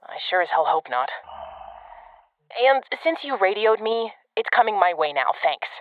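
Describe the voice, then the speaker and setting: A woman's voice: mid-range voice, sing-song, moderate pace, dry recording. An actress playing a sardonic, blunt female secret agent in an audio drama, cornered and unravelling, heard through a radio filter. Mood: tense, wry. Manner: blunt, terse, swearing. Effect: radio transmission filter. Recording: tinny narrow-band radio with music.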